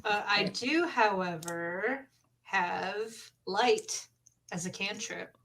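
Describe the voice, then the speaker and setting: Bright-voiced woman, slow-paced, playing a tabletop game. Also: mid-range voice, slight background noise. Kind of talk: casual in-game chat, improvised role-play.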